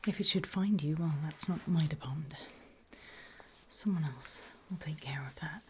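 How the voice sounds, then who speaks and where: A British woman whispering a creepy ASMR roleplay as a calm, reassuring gravedigger, hushed and matter-of-fact with long pauses. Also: low-pitched, a little hiss or hum.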